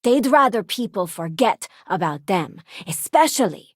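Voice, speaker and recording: A woman's voice: medium pitch, very animated, a little slow, slightly rough, dry recording, clean studio sound, heavily acted. An actress playing an earnest, loyal and idealistic young woman crewmate in a space video game, speaking conversationally. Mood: earnest, reflective.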